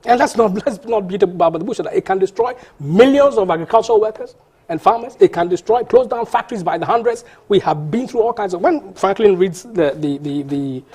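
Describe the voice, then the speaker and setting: A man's voice: high voice, expressive, a little fast, darkish tone, slightly rough. A man, a panel guest arguing insistently in a heated TV debate, often restarting and repeating words.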